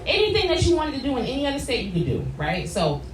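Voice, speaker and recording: A woman's voice: high voice, huge pitch swings, fast talker. An American woman answering as a podcast guest in a reflective, emphatic, conversational way, often checking in with the listener. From New Jersey, United States. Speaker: guest, wellness coach and therapist. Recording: roomy echo, distant mic, loud background noise.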